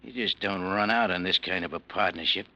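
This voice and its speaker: Casting: a deep-voiced, bright-toned radio-drama gangster, cold and threatening. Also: male voice, brisk, highs cut off, heavily acted. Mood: menacing. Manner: low, coldly threatening. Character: menacing male gangster client, threatening. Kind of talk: 1940s radio acting.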